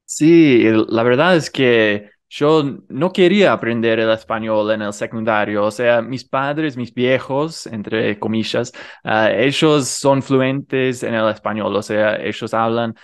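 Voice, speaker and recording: Earnest American male guest, smooth clear tone, learner's accent in Rioplatense Spanish. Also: medium pitch, slow, few pauses. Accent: American learner speaking Rioplatense Spanish. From United States. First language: English. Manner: earnest, many fillers and restarts. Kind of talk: conversational interview over video call. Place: home room. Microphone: headset with studio mic in front.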